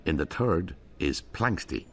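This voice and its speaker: A man's voice: sits low, lively intonation, unhurried, fairly bright tone. A man narrating a radio drama in a wry, storytelling manner.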